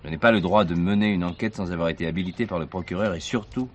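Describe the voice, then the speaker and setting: A man acts a teasing, easygoing policeman husband in a drama. His lowish voice is wry and bantering, heard through a dull recording with clear background noise. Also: fast talker, lightly acted. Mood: relaxed, teasing. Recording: dull sound with car noise.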